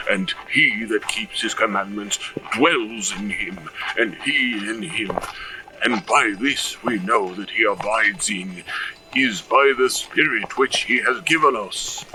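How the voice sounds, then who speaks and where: A man playing a solemn village reverend in an audio drama, preaching and reciting scripture in a measured way. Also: fairly low pitch, far from the mic, poor audio.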